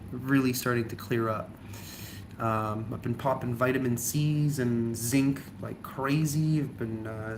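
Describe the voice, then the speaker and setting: A man's voice: mid-range voice, noisy background. A young man with a cold and a slightly nasal voice, chatting casually in a vlog, rambling with lots of ums and likes. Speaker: travel vlogger.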